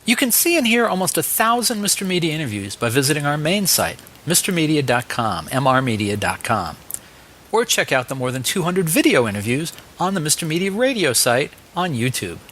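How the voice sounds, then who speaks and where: A man's voice: on the high side, very animated, a little fast, fairly bright tone, slight background noise. A man with an American accent, hosting an interview show and reading out announcements and sponsor plugs. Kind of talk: podcast interview and sponsor reads.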